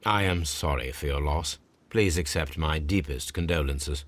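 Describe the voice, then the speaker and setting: A man voicing a composed, probing Victorian gentleman detective with an English accent and a formal, courteous, measured delivery in a video game. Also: very deep voice, no echo. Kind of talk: video game cutscene acting.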